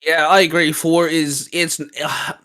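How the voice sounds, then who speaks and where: A man with a slightly high-pitched voice hosts a casual geeky discussion. He rambles with enthusiasm, often pausing and restarting as he works out his opinion. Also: level tone, sharp tone. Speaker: host. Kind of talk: casual geeky chat rating video game forms.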